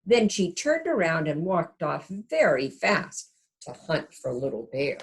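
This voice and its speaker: Expressive low-voiced woman reading a storybook aloud. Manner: expressive, pausing to ask listeners questions. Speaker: kindergarten teacher reading aloud. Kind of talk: storybook read-aloud for young children.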